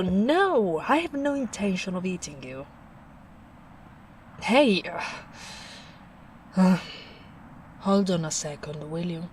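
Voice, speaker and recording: Slow, soft-spoken gentle ogre played by a woman. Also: on the low side, slight background noise. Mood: wry, dramatic. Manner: unhurried, musing, soft-spoken. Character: gentle big female ogre, caring, plain-spoken woodswoman. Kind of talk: soft-spoken ASMR roleplay.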